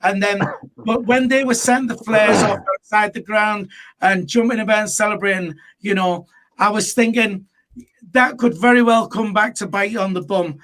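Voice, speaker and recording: A man's voice: sits high, normal speed, fairly bright tone, low-quality recording. A man hosting a live football fan show, blunt and full of fillers as he moves between panelists. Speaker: host.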